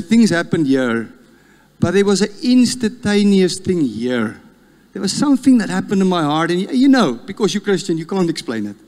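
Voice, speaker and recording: Afrikaans-accented male preacher, church reverb. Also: sits high. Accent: South African Afrikaans. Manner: storytelling, animated, laughs at himself. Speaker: guest preacher. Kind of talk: sermon. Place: church hall.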